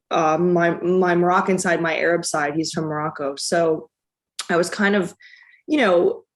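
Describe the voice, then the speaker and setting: A woman, a documentary director, answering interview questions in a reflective, casual way with many restarts and fillers. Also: fairly low pitch. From United States.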